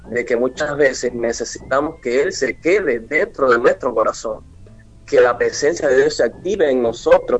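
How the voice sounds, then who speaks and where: Male, early twenties, Venezuelan accent, mid-pitched, earnest devotional interviewee over faint music. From Mérida, Venezuela. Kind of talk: radio interview, devotional testimony. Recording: dull lo-fi remote link, music behind.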